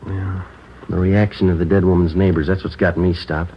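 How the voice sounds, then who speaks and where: An actor playing a clipped, unflappable police sergeant who also narrates, in a flat, matter-of-fact vintage radio drama delivery. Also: male voice, low voice, normal speed, a little hiss or hum. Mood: businesslike. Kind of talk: 1940s-50s radio drama acting. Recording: lo-fi vintage radio broadcast with music.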